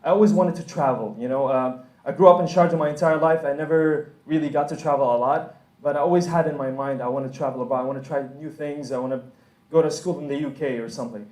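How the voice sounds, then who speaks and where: A man's voice: on the high side, fast talker, a little mellow, echoey room, rough recording. A young man from the UAE giving a casual, chatty talk to students, with lots of fillers and frequent laughs. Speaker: conference speaker. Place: room with an audience.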